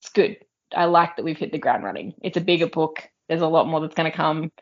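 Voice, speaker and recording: A woman with a notably low voice shares her impressions of a book in an informal, appreciative way. She speaks as one of a discussion panel. The sound is a little dull and lo-fi. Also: brisk. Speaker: podcast panelist.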